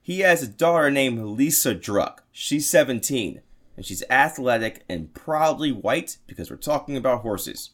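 Brief: a rambling, crude male podcast host spinning a story with animated pitch. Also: moderate pace. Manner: crude, jokey, swearing, rambling. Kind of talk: casual comedic storytelling.

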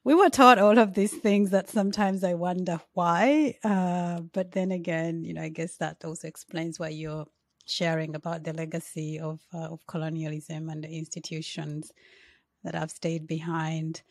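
A woman with a slightly low voice hosts an interview. She speaks in a halting, conversational way and often restarts and corrects herself. Her voice is close and dry, with no room echo. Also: steady tone. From Uganda. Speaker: interview host.

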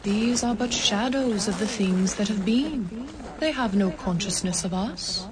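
A woman playing a grave, measured ghost of the past. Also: mid-range voice, crowd noise, natural voice. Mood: probing. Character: solemn female ghost of the past, calm and probing. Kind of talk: radio play acting.

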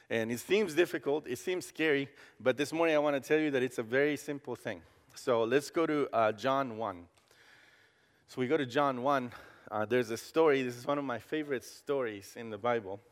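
A man's voice: mid-range voice, in a large hall. Friendly Costa Rican man preaching in a church. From Costa Rica. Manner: friendly and joking, frequent hesitations. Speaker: guest campus pastor. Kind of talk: casual sermon. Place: church sanctuary.

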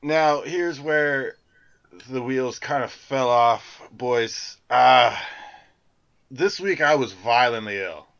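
A man rambles through a crude story, swearing freely with halting restarts, as a co-host of a casual podcast. His voice sits at an ordinary pitch. The recording is dull and lo-fi. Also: vocal fry, fairly bright tone, clear, resonant tone. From Canada. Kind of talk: casual chat.

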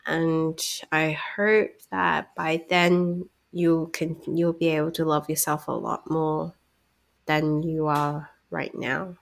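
A woman's voice: low voice, little pitch movement, slow pace, gravelly. A woman whose first language is Cantonese, co-hosting a casual podcast, chatty and reflective, often breaking into laughter. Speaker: podcast co-host.

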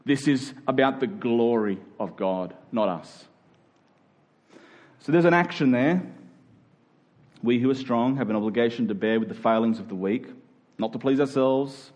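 A man's voice: mid-range voice, moderate pace, pauses often, a little mellow, muffled recording. A man with an Australian accent preaching as a pastor, earnest and conversational, often posing questions to his listeners. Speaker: church pastor. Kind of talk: sermon.

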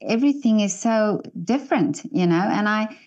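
A woman's voice: medium pitch, animated, moderate pace, a little mellow. Hesitant woman host, muffled sound. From South Africa. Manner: hesitant, many fillers and restarts. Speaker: interview host. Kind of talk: interview conversation.

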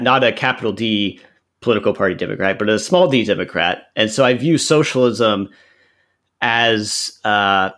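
A man in his thirties from Central Texas, a podcast guest who explains his views in an earnest, measured way. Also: fairly low pitch, fairly bright tone. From Central Texas, USA. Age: 30s. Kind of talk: podcast interview answers.

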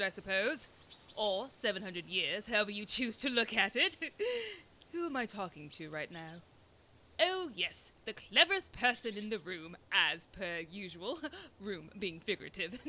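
A woman's voice: mid-range voice, sharp tone, slight background noise. A woman playing a smug, eccentric, clever detective with a wordy, theatrical manner in a comedy audio drama. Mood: wry, amused.

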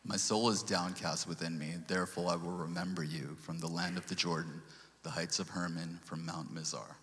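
A man's voice: low voice, steady tone, moderate pace, a bit bright, in a large hall. A man from Sri Lanka giving an earnest church testimony, quoting scripture and stumbling over restarts. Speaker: lay speaker giving a testimony.

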